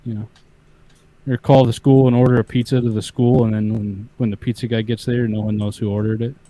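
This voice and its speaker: A man's voice: medium pitch, little pitch movement, a little fast, warm tone, poor audio. A man appearing as a guest on a casual livestream, chatting in a laid-back way.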